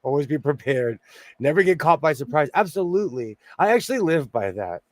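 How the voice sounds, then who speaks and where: A man in his forties hosting a casual sewing livestream, hoarse and losing his voice, joking and laughing often as he reads the chat. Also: a little high, sing-song, a little fast, darkish tone, dry recording.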